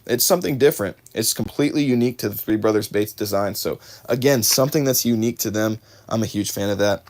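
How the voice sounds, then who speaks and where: A man's voice: on the low side, fast, a little mellow. A man hosting a live unboxing, chatting casually with viewers and correcting himself as he goes, his voice a little worn. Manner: chatty, talks to chat viewers by name, self-correcting. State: slightly losing his voice. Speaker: fishing livestream host.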